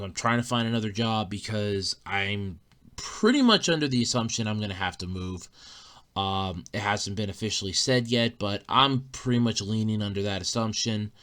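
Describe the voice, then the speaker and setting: Bright-voiced man with a scratchy throat. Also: lowish voice. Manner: rambling, frequent fillers and restarts. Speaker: podcast host. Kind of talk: casual solo podcast monologue.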